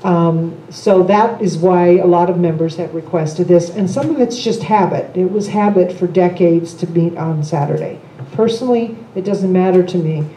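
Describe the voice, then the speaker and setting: An older retired woman chairing a board meeting, speaking in a measured, procedural way with frequent ums. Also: lowish voice, mellow, slight background noise. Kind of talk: meeting discussion. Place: large meeting room with long conference table. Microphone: table microphone. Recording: echoey room, camera mic.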